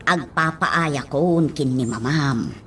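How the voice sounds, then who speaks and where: A woman acting as a devoted, motherly older nanny, gently chiding, in an Ilocano radio drama. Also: very deep voice, slow pace, slight background noise, full character voice. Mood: fond, chiding.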